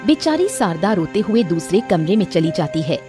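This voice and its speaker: A woman's voice: fairly low pitch, very animated, moderate pace, music in the background. A woman narrating a scripted moral cartoon story.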